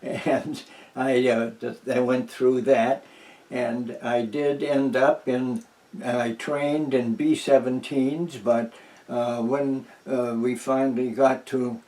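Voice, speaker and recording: A man in his nineties from New Hampshire, speaking very slowly and circling back through restarts, fillers and soft chuckles. He sits a little away from the microphone, so his voice sounds distant. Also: medium pitch, flat delivery. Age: 90s. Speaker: interviewee, veteran. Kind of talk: oral history interview. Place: at home.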